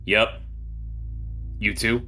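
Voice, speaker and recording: A man's voice: lowish voice, flat delivery, extremely slow, bright, forceful tone. A man playing a tabletop role-playing game, speaking casually and partly in character. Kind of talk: casual role-play chat. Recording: faint music behind the voice. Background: faint background music.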